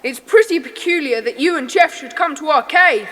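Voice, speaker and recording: An actress playing a bossy, indignant young schoolboy in a children's audio drama, with a scolding, commanding manner. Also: female voice, high-pitched, lively intonation, moderate pace, a bit bright. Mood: cross. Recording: long hall-like reverb.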